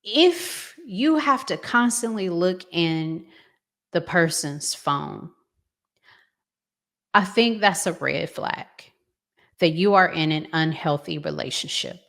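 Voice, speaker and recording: A middle-aged woman hosts a casual reaction vlog. Her voice sits a little low and she talks very slowly. She thinks aloud in a halting, conversational way, unscripted throughout. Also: gravelly, fairly bright tone. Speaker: vlog host giving commentary.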